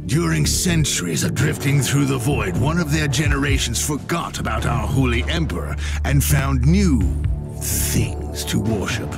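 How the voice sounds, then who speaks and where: Grandiose, gravelly space marine sergeant. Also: male voice, medium pitch, expressive, a little slow, over loud music. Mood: sardonic, grim. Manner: grandiose, sardonic, dramatic. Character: grim, zealous male space marine sergeant, battle-hardened. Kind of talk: video game voice acting.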